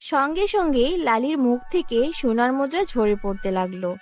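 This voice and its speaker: A woman's voice: a little high, big pitch swings, normal speed, a little hiss or hum. A woman narrating a scripted children's fairy tale as storyteller.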